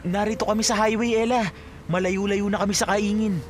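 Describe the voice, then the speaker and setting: A performer playing a farmer's dutiful, gentle child with a Filipino accent, polite and consoling, in radio drama style. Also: mid-range voice, steady tone, fairly quick, a little hiss or hum, lightly acted. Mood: grateful, surprised.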